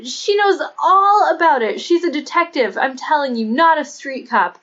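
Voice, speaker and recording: A man's voice: very high voice, animated, normal speed, pushed and bright, dull audio, pitched above the performer's own voice, exaggerated voice. A man voicing a chatty, shrewd young woman who talks with earnest insistence, as dialogue in an audiobook. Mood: excited, insistent.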